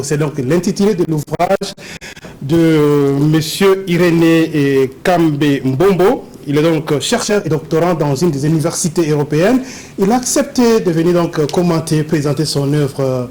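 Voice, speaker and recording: Male talk-show host, Congolese French accent, slightly high mellow voice, formal presenting, noisy background. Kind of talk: formal broadcast interviewing. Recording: clear background noise and ambience.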